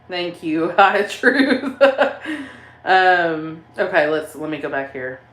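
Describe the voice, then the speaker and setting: Low-voiced Southern woman chatting. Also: extremely slow, fairly bright tone, roomy sound. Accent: Southern American (Kentucky). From southeastern Kentucky, USA. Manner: chatty and rambling, many "um" and "like", laughs often. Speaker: paranormal channel host reading live chat. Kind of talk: casual livestream chat.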